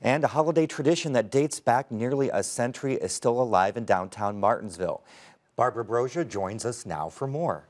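A man in his thirties anchoring a news program in a crisp, formal read. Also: medium pitch, lively intonation, fairly quick.

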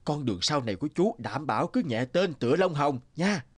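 A man's voice: a little high, animated, fairly quick, husky. An actor voicing a friendly, teasing older male office colleague in a cartoon. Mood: teasing, jovial. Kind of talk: cartoon dialogue acting. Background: background music.

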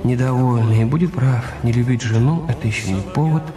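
Man acting a resentful husband, radio drama, medium pitch, sardonic, brooding, defensive, music in the background. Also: fast, close to an everyday voice. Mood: bitter brooding. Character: bitter resentful husband, a French masseur.

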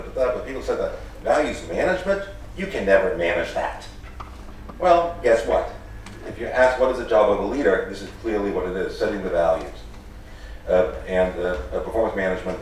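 A man's voice: low-pitched, slight background noise. A middle-aged man raised in the Netherlands gives a formal lecture as a professor, speaking earnestly with many restarts. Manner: earnest, halting with frequent restarts. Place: large lecture hall with audience. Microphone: podium microphone. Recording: echoey hall, picked up from a distance.

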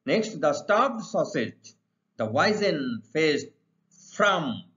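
Middle-aged male teacher, Indian accent, highish animated voice, didactic and emphatic, dull lo-fi sound. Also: extremely slow. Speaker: English teacher. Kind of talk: exam lesson, reading questions and explaining answers. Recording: dull lo-fi recording.

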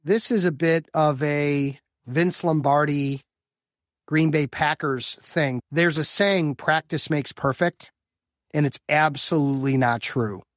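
An upbeat man in his fifties from the Chicago area talks as a coach being interviewed. His voice sits on the higher side for a man, and he often doubles words as he builds to a point. The sound is dry and close, with no room echo. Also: slow. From Chicago area, US Midwest. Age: 50s. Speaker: guest, business development coach for lawyers. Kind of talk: casual interview answers.

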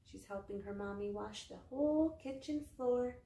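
A woman's voice: on the high side, normal speed, warm tone. A young woman hosting a children's storytime, reading a picture book aloud in simple sing-song phrases. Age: young adult. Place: small living room, seated on a couch. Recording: roomy indoor echo.

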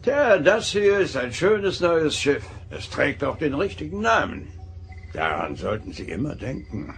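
Very old admiral, male, highish gravelly voice, cantankerous, teasing, sentimental; sci-fi audio drama. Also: big pitch swings, unhurried, heavily acted. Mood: fond, earnest. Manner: crotchety, teasing, swooping emphasis. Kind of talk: German audio drama acting.

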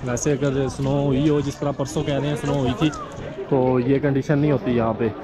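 A man's voice: mid-range voice, level tone, brisk, poor audio. A man with a Pakistani Urdu accent, chatting casually as a travel vlogger walking a snowy street and laughing at his own remarks. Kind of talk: casual walking vlog commentary. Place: outdoors on a snowy busy street. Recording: crowded outdoor street noise. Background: crowd chatter.

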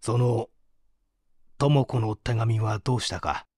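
An actor playing a stern prosecutor who questions a suspect formally and with authority in a mystery audio drama. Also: male voice, lowish voice, takes it slowly, a little mellow, breathy edge, dry recording, studio quality. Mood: probing, stern. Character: probing, formal male prosecutor interrogating a suspect. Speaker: voice actor reading a story.

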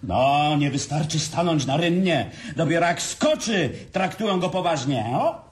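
A man's voice: a little high, very animated, unhurried, slightly rough, heavily acted. An actor playing a boastful, self-pitying businessman who drinks and mocks everyone at a family dinner in a radio drama. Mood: tipsy. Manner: sarcastic, theatrical, drinking.

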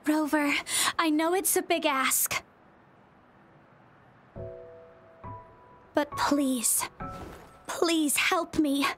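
A woman's voice: high voice, slow pace, fairly bright tone. A worried, determined young game heroine, speaks. She is earnest and hesitant, over faint music. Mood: pleading. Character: worried, determined young woman, former pioneer, seeking help. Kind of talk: scripted video game dialogue.

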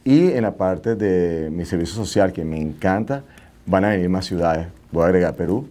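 A man's voice: sits low, normal speed, mellow. A middle-aged man with a Venezuelan accent, talking casually as an interview guest and repeating phrases for emphasis. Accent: Venezuelan Spanish. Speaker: interview guest, nightclub entrepreneur. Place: small indoor TV studio.

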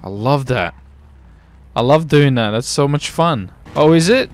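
A man with a gravelly voice chatting casually and slangily while playing a roleplay game. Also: medium pitch, expressive, measured pace, slight background noise. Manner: slangy, says dude and baby often. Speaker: gaming video host, roleplay player. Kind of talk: casual gameplay roleplay chat. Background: car game sounds.

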